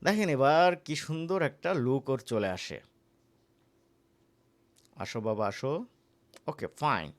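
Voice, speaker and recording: A man with a Bangladeshi accent giving a casual, conversational software tutorial as an instructor, often checking in with the listener. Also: medium pitch, animated, takes it slowly, pauses often. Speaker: animation course instructor.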